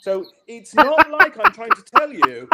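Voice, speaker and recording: A man from Pakistan, a journalist guest telling stories in a relaxed interview, with wry, dry humor and lots of fillers and restarts. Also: very high-pitched, measured pace, very noisy. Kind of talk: conversational storytelling on a podcast interview.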